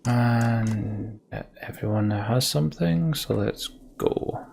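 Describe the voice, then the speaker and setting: A man's voice: mid-range voice, takes it slowly, vocal fry, darkish tone, a little hiss or hum. A man commentating casually on a video game as he plays, thinking aloud and reading story text out. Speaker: let's play video host. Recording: game music behind the voice. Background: video game music.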